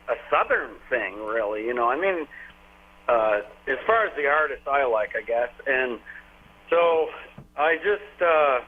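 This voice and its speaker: A man in his forties from Vermont, a country singer telling stories in a casual, rambling way with lots of fillers. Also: on the high side. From Vermont, USA. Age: 40s. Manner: rambling, folksy storytelling with frequent fillers. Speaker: country singer, interview guest. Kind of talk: casual phone interview. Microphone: telephone. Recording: tinny phone line.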